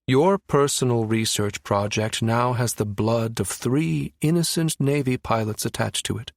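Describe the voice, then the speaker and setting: A man performs a cold male schemer in a science fiction audiobook. He sounds smug and calculating, mocking his opponent in a measured, menacing way. The voice is mid-pitched and dry. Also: creaky, no echo. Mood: accusing.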